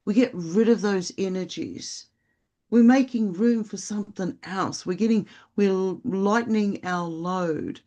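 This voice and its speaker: Podcast co-host, casual spiritual chat, rambling, reflective, mid-pitched voice. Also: measured pace, warm tone, muffled recording. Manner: rambling, reflective, many fillers.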